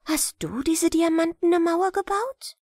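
Woman voicing an airy, high young storybook princess. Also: slow pace, a little mellow, dry recording, studio quality. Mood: curious. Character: young princess, curious, enchanted, direct. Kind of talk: fairy-tale audiobook character.

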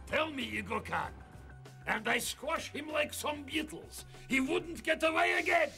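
High-pitched pompous cartoon villain. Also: male voice, measured pace, bright, forceful tone, soft background music, exaggerated voice. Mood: vengeful, boastful. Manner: broken foreign-sounding English, pompous. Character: pompous villainous male tycoon, vengeful and boastful. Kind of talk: animated villain acting.

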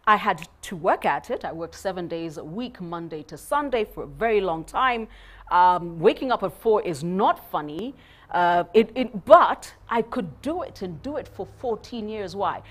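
Emphatic woman giving punchy career advice. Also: medium pitch. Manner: emphatic, punchy, animated gestures. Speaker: guest speaker. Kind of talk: motivational panel talk. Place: studio set.

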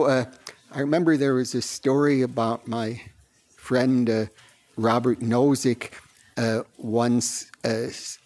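An older man lectures slowly from a lectern microphone, his low voice broken by frequent "uh" pauses. He delivers dry, wry asides in a measured academic tone. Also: a little mellow. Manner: dry humor, frequent "uh" hesitations. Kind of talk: university lecture. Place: lecture room with audience.

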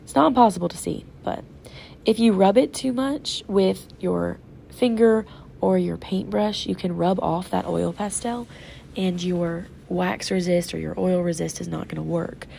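A sick, congested woman teacher talks young children through an art project step by step, patient and instructive. Her voice is low and heavily gravelly, with a dark, muffled tone. A faint hum sits behind her. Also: measured pace. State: sick, congested and sore-throated. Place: classroom table. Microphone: off-camera voice over an overhead tabletop camera.

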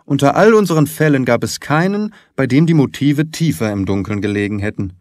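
A man voicing a brisk, analytical detective in an audiobook, articulate and emphatic, with deliberate phrasing. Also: medium pitch, animated, darkish tone, dry recording, clean studio sound, natural voice. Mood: pondering. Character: brisk, confident, analytical male detective, theatrical and keen. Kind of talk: audiobook character dialogue.

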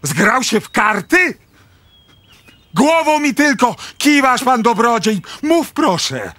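A man's voice: very high-pitched, big pitch swings, slow pace, pushed and bright, no echo, heavily acted. A man playing an indignant, frantic aristocratic father in a radio drama, with a blustering, exclamatory theatrical delivery. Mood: bewildered agitation.

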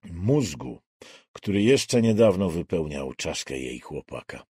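A man's voice: low voice, very animated, measured pace, warm tone, breathy edge, no room sound. A man reading a thriller audiobook as dramatic scripted narration on a close studio microphone.

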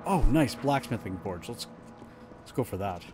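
A man's voice: lowish voice, lively intonation, normal speed. A man giving casual live gameplay commentary, thinking aloud in short, slangy remarks. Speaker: video game streamer. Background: game music.

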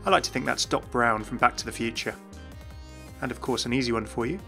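A man's voice: medium pitch, quick pace, gravelly, bright, forceful tone, faint music behind. A man giving scripted commentary on video game lore as a host.

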